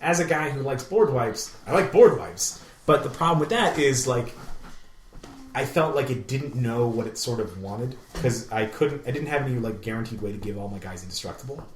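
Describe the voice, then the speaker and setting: A man's voice: mid-range voice, lively intonation, normal speed, slight background noise. A man's mid-range voice, picked up with plenty of room echo. He chats casually as a podcast co-host, enthusiastic and inclined to ramble as he works through his thoughts. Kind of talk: casual chat. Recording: roomy, echoey room sound.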